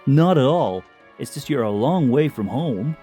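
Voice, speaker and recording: A man's voice: medium pitch, lively intonation, a little slow, mellow, faint music behind, close to an everyday voice. A man playing an alien villain who poses as a brusque American police detective in an audio drama, smug and theatrical. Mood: brisk, official.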